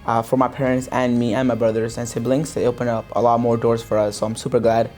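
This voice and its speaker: A young man from Pakistan, a sales business owner, giving a relaxed interview testimonial with many fillers and restarts. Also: medium pitch, fast talker. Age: young adult. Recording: faint guitar music underneath.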